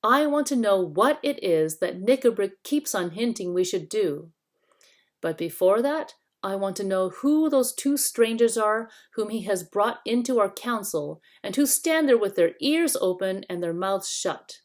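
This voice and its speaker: A woman's voice: medium pitch, animated, unhurried, lightly acted. A woman voicing a young king, firm and exasperated, with a direct commanding manner in a storybook.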